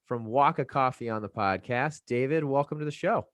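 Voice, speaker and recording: A man's voice: mid-range voice, moderate pace, fairly bright tone, no room sound. A young man hosting a podcast, greeting his guest and asking questions in an upbeat, casual way. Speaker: podcast host. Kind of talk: podcast interview.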